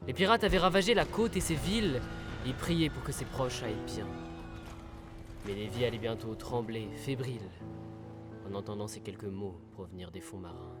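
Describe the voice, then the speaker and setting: Un acteur joue un marin qui raconte des légendes de la mer dans une fiction sonore, d'un ton posé et un peu ironique. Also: male voice, medium pitch, animated, moderate pace, natural voice. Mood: storytelling. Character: weary seasoned sailor recounting sea legends in verse. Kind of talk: audio fiction storytelling. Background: music.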